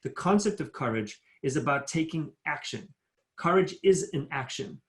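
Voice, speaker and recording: A middle-aged man from Africa, giving an earnest, emphatic motivational talk as a guest speaker over a video call. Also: mid-range voice. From South Africa. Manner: earnest, emphatic, builds points in lists. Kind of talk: motivational talk at a virtual town hall.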